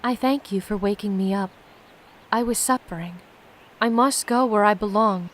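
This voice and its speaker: A woman voicing a serene, bright otherworldly creature with calm, formal speech as she reads a game's story. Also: medium pitch, moderate pace, a little hiss or hum, close to an everyday voice. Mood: serene, solemn.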